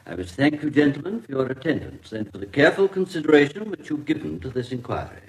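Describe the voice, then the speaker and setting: Formal British coroner, animated pitch man acting. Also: close to an everyday voice, rough recording. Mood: formal, satisfied. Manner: measured, official, formal diction. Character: formal, courteous English coroner presiding over an inquest. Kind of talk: radio drama acting.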